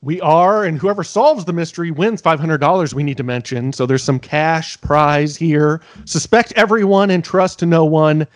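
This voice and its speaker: A man's voice: fairly high pitch, moderate pace, few pauses, no echo. A man co-hosting a podcast, laughing often and bantering playfully about a TV episode. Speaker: podcast co-host. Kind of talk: casual recap chat.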